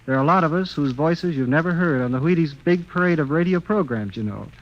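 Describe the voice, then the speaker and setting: Folksy american guy doing scripted radio plug, slightly high voice, muffled old recording. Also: quick pace. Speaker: musician endorsing a product. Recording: dull vintage radio recording.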